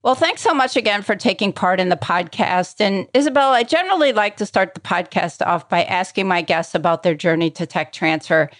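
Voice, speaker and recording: A woman's voice: medium pitch, lively intonation, a little fast, few pauses, a bit bright, no echo. A woman hosting an interview podcast, introducing and questioning her guest. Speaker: podcast host.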